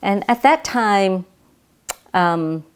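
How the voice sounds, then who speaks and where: A middle-aged woman, recalling her past in an oral history interview, halting and reflective with many fillers and restarts. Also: mid-range voice, lively intonation, smooth. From Vietnam.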